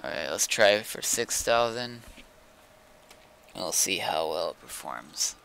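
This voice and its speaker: A game streamer, a man with a low and very sharp, forward voice that often drops into fry. He chats casually, thinking aloud, with faint noise and typing behind him. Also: animated, a little slow, low-quality recording. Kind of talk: casual chat while testing stream settings.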